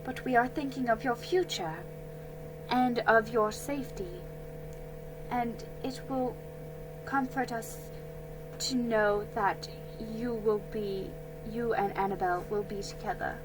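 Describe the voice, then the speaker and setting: Woman doing a soft gentle colonial mother. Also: on the high side, fairly even intonation, takes it slowly, music in the background, low-quality recording.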